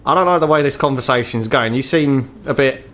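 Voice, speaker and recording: A man plays a gruff, ageing troll who works as an underworld fixer in a tabletop game. He is British, blunt and foul-mouthed, and speaks like someone bitter and tired of living in the gutter. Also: medium pitch, fairly quick, fairly bright tone, close to an everyday voice. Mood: wary, irritated. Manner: blunt, sweary, world-weary.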